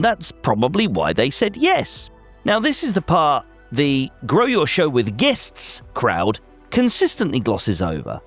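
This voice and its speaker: A man hosting a podcast, delivering scripted commentary in a wry, emphatic way, speaking straight to the listener. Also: mid-range voice. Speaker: podcast host.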